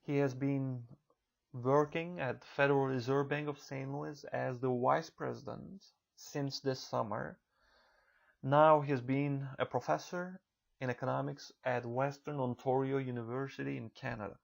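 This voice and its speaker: A man in his thirties from Turkey with a Turkish accent, delivering a recorded online college lecture in a measured, careful way. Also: mid-range voice, fairly even intonation, vocal fry. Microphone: computer mic for a narrated slideshow.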